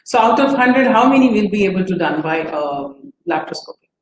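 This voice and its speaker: A woman doctor hosts a live medical interview at a mid pitch. She asks her questions with fillers and repeated phrases. The room sounds echoey, with a faint hiss underneath. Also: expressive, brisk. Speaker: host and general practitioner.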